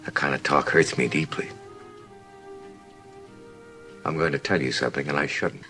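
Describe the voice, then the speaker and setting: A man's voice: rumbling low, steady tone, quick pace, bright, forceful tone, soft background music. An actor playing a suave, manipulative, flirtatious man in an audio drama. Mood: wounded. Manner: suave, coaxing. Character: smooth-talking, manipulative, flirtatious male schemer.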